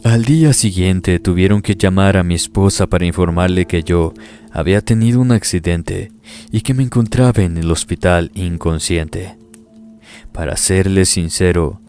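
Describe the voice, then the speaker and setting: A man narrating first-person horror stories in a grave, steady, scripted delivery. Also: deep voice. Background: faint background music.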